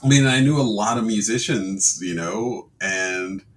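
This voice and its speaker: A man co-hosting a podcast in casual chat, hesitant and quick to laugh. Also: lowish voice. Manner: hesitant, laughs often, many restarts. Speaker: podcast co-host.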